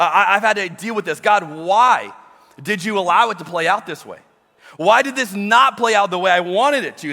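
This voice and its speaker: Male pastor, middle-aged, loud and expressive, bright tenor, soft music under a reverberant hall. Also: high voice. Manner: expressive preacher, swings between loud emphasis and quiet intimate asides. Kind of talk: sermon. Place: large church auditorium.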